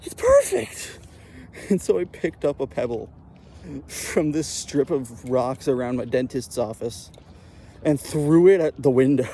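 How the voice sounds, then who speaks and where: A man's voice: medium pitch, a little hiss or hum. A man telling personal stories in a casual, profane, comedic way, acting out the dialogue as he goes. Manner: profane, animated retelling with acted dialogue. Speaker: storyteller.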